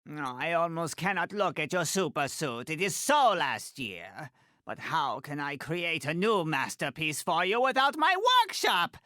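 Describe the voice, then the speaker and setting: Game role: haughty little middle-aged woman designer, theatrical, wildly animated pitch, sharp bright tone. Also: unhurried, dry recording, studio quality, exaggerated voice. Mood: disdainful. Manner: haughty, dramatic, clipped delivery with affectionate condescension. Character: tiny, imperious, theatrical middle-aged female fashion designer for superheroes. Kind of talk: video game character voice lines.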